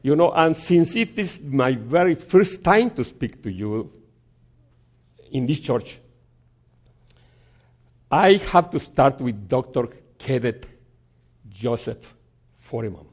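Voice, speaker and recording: A man giving a sermon as a guest preacher in a church, telling a story in a halting way with many restarts and some gentle humor. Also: mid-range voice, expressive.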